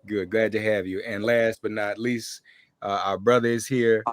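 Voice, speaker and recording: A man's voice: on the low side, little pitch movement, moderate pace, no echo. A man hosting a conversational interview show, welcoming guests and addressing them directly. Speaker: podcast host interviewing guests.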